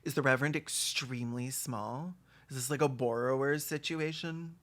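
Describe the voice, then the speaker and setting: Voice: mid-range voice, normal speed, fairly bright tone. A video host giving comedic reaction commentary in a theatrical, exclamatory and sarcastic way.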